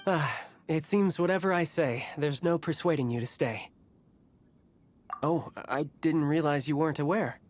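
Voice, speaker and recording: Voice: mid-range voice, normal speed, natural voice. An actor voicing a deferential, resigned household servant of a noble family in a video game, speaking formally.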